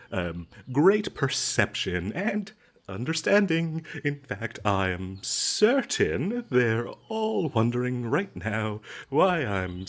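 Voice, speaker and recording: A man acts out a captured fantasy adventurer trying to talk his way free in a comedic audio roleplay. He has a mid-pitched male voice that swings widely in tone. His delivery is slow and halting, full of stammers, evasive flattery and an ingratiating, nervous edge. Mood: flustered, ingratiating. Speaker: voice actor.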